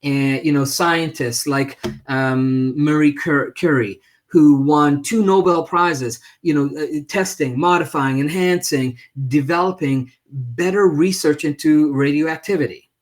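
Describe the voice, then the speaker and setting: A man hosting an unscripted livestream talk, speaking haltingly with repeated words and frequent check-in questions. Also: on the high side. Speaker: livestream host and presenter.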